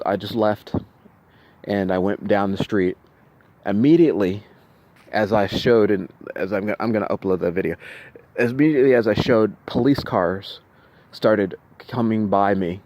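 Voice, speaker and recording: A man with a fairly low voice talks off the cuff to his camera. He speaks haltingly, often doubling back on his phrases and repeating them. His delivery is insistent and emphatic, as someone venting about his own experiences. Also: a little mellow. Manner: halting, repetitive, with restarted phrases. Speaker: vlogger recounting personal experiences. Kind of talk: unscripted video monologue, venting to camera.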